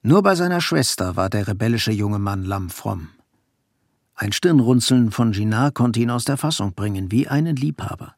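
Creaky-voiced man narrating a radio drama. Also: on the low side, animated, normal speed, dry recording, clean studio sound.

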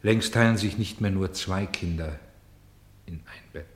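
Radio play narrator,, lowish voice, measured and grave delivery, pronounced room echo. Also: male voice. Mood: solemn, sorrowful. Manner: measured, solemn declamation. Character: narrator turned player, voicing cities, streets and houses. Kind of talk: German radio play acting.

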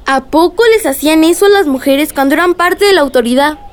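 A woman's voice: high voice, brisk, lightly acted. A woman playing a curious, outspoken young girl in a radio drama. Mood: curious, exclaiming.

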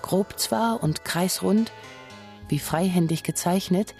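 A woman narrating a radio drama in a measured, literary manner. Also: fairly low pitch, darkish tone, faint music behind.

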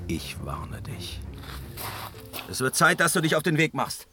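A man's voice: on the high side, very animated, a little slow. An actor playing a weary, tormented, commanding father god in a fantasy radio drama, solemn and brooding, slipping into whispers. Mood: stern, commanding. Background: dramatic music.

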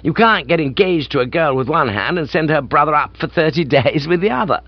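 A man voicing an excitable, pompous upper-class English gentleman in a comic audiobook, breezy and self-satisfied. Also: fairly high pitch, fairly quick, no room sound, muffled recording, pitched above the performer's own voice. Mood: breezy, pleased.

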